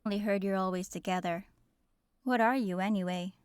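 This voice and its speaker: A woman voicing a young noblewoman in a fantasy audio drama, with measured, enunciated delivery. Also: mid-range voice, steady tone, clear, resonant tone, dry recording, studio quality, natural voice. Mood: curious. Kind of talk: scripted audio roleplay acting.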